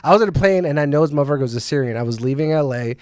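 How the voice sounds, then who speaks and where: A man's voice: a little high, expressive, rapid-fire, noisy background. A man hosting a casual podcast, rambling and joking as he tells stories and nerds out about music. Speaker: podcast host.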